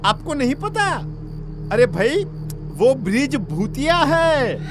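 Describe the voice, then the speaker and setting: A man's voice: extremely high pitch, big pitch swings, takes it slowly, over music, exaggerated voice. An actor playing an ominous, warning village man in a cartoon horror story, emphatic and foreboding. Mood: cautionary. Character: ominous, warning villager who fears a haunted bridge. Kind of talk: animated horror story acting.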